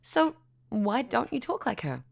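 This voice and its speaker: A woman's voice: on the high side, big pitch swings, a little slow, vocal fry, full character voice. An actress with a New Zealand accent playing a frank, friendly woman survivalist researcher. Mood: teasing.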